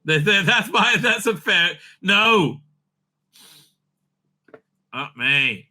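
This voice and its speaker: Man, high-pitched, piercingly bright, excitable, stammering, sweary, off-the-cuff opinionated commentary. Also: very slow. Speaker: host of a fan news commentary video. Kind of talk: unscripted, profane opinionated commentary.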